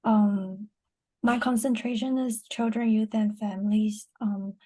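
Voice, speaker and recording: Very dark, flat-voiced woman from Taiwan. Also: medium pitch, measured pace. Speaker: graduate student panelist.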